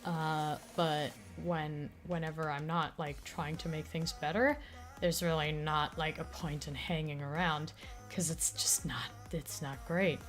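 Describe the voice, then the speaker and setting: A woman streamer chatting casually with her viewers, rambling with many fillers, her throat still slightly raspy from an illness. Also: sits low, fairly even intonation, unhurried, faint music behind. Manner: rambling, full of fillers and restarts. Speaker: streamer and audio creator. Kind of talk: casual livestream chat.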